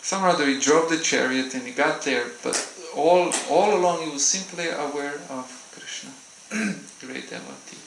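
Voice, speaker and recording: A man giving a gentle, unhurried devotional talk in a temple, pausing often as he answers questions. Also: on the high side, creaky, noisy background. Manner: gentle, unhurried, with frequent hesitations. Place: temple hall. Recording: roomy hall echo.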